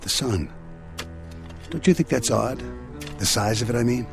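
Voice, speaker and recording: A man's voice: medium pitch, animated, a little slow, vocal fry, music in the background. An actor playing a dutiful, thoughtful junior spaceship crewman, deferential and questioning, in a radio drama. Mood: uneasy, wondering.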